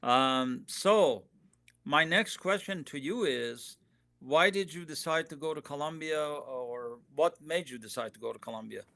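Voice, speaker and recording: A man's voice: on the high side, unhurried, vocal fry, sharp tone, no room sound. A man whose first language is Persian, hosting an interview in a friendly, hesitant way with many fillers. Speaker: interview host. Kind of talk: interview conversation.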